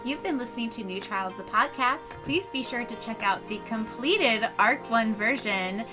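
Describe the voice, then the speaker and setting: A woman on a podcast reading written notes aloud and a scripted outro in a playful, expressive way. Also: a little high, normal speed, music in the background. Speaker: podcast co-host.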